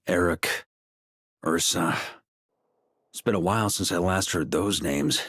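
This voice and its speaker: A man's voice: deep voice, slow pace, pushed and bright, a little hiss or hum, heavily acted. A man playing an easygoing, wry Grand Master of knights with casual authority in a video game. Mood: weary, brooding.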